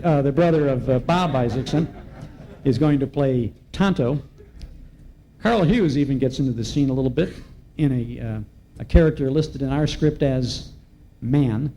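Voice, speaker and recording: Male live-event host: mellow, higher-set voice, announcing players, faint noise. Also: low-quality recording. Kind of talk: live announcing of cast to an audience. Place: hotel ballroom stage.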